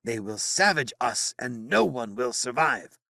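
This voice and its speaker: A man's voice: medium pitch, very animated, measured pace, creaky, sharp tone, dry recording, clean studio sound, pitched above the performer's own voice, full character voice. Man doing a frantic old wizard, urgent and afraid. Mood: grave. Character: agitated old male wizard.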